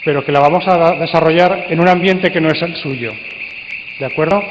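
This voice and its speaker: A man with an accent from Spain gives a live, interactive talk to an audience, with measured, playfully theatrical phrasing. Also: a little high, steady tone. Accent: Spanish from Spain. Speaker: speaker and coordinator at a radio drama congress. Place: large indoor congress hall. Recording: dull, echoey hall recording with faint noise.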